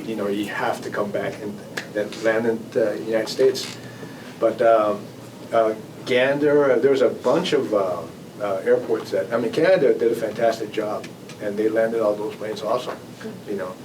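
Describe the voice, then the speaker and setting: A man giving an informal talk as an air traffic control manager, conversational and halting, often repeating and rephrasing his words. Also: lowish voice, fairly even intonation. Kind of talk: informal presentation with audience questions. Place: club meeting room. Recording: distant mic, noisy meeting room.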